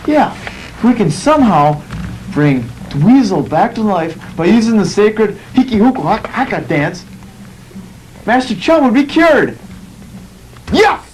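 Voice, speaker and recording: A man's voice: high-pitched, a little mellow, a little hiss or hum, rough recording. A young man hosting a comic home-video skit, overacting melodramatic worry, taped on a camcorder. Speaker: host and comic actor.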